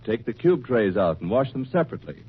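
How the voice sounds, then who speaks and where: A man with an American accent announcing an old-time radio commercial in a measured, formal sales delivery. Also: medium pitch, very animated, breathy edge. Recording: thin, phone-like old radio recording.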